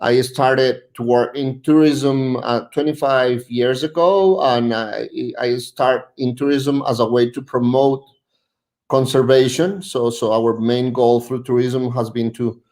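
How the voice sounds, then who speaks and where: Halting man. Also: medium pitch, fairly even intonation, darkish tone. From Ecuador. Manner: halting, searching for words. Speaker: guest, tourism representative. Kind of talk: presentation on a video call.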